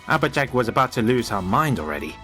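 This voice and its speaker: A man narrating a scripted story in an expressive, theatrical way. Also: mid-range voice, fast, soft background music.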